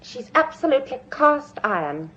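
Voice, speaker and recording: Direct composed young lady in a radio play, highish lively voice, slightly muffled. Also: normal speed. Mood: guarded. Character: forthright, composed young lady, loyal and impatient. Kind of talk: radio drama acting. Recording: dull-sounding radio drama recording.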